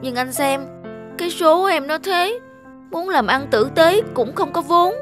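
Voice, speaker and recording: A woman's voice: very high voice, animated, a little slow. Whining lazy young peasant man acted by a woman. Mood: self-pitying. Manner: whining, complaining. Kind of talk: animated folk tale acting. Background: background music.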